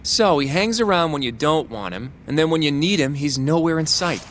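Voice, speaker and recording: Casting: earnest game sidekick, questioning, raised pitch, faint ambience behind. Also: male voice, expressive, moderate pace, fairly bright tone, slight background noise. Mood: surprised. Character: earnest, inquisitive male associate, easily surprised. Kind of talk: video game cutscene acting.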